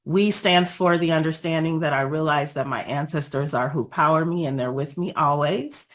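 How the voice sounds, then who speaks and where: A woman with a raspy voice after being ill, giving an earnest, measured presentation over a video call with frequent pauses and ums. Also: deep voice. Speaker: guest speaker, nonprofit founder.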